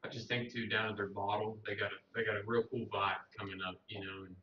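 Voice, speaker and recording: Low, bright-toned man heard from across a room. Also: little pitch movement, fast talker. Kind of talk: casual whiskey chat. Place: back of the room, away from the mic. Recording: distant, echoey, lo-fi, faint noise.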